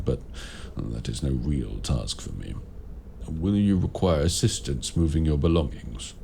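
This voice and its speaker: Man as a very deep, gravelly warlock. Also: a little mellow, a little hiss or hum, exaggerated voice. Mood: firm, matter-of-fact. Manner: formal, measured, deliberate phrasing. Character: ancient male warlock in raven form, courteous, grave mentor. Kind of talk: audio roleplay addressed to the listener.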